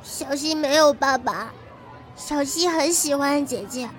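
A woman's voice: high voice, a little slow, some chatter behind, slight background noise. A woman playing a sweet, clingy little girl in an audio drama, with a childlike voice and pleading, simple phrasing. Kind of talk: Chinese audio drama acting.

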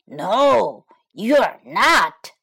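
Lady doing a sly hungry boy fox for a kids story, snarly n emphatic, slow n swoopy. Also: high voice, very animated, a bit bright, heavily acted. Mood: menacing. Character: sly, hungry male fox, menacing and gullible.

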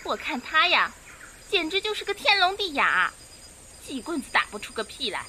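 Woman playing a squeaky-high, gossipy young maid. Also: very animated, normal speed, pushed and bright, a little hiss or hum, exaggerated voice. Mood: scornful. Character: young gossipy maidservant in old China, excitable, nosy. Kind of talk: Chinese audio drama acting. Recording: clear music behind the voice.

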